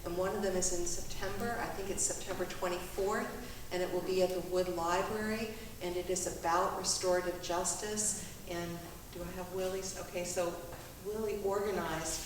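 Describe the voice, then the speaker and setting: A woman giving informal announcements to an audience, polite and somewhat halting. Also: on the low side, steady tone. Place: echoey meetinghouse hall. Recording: echoey distant mic, clear background noise.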